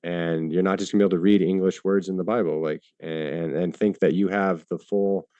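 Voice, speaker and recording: A man in a debate who answers haltingly, with many fillers and restarts and some casual swearing. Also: sits low, fairly even intonation, dark tone, no echo, highs cut off. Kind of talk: live debate.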